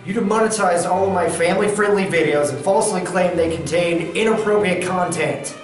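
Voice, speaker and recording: A man's voice: high-pitched, fairly quick, close to an everyday voice. A man playing an angry, accusing maker of family videos in a comedy sketch. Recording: distant, echoey, music behind.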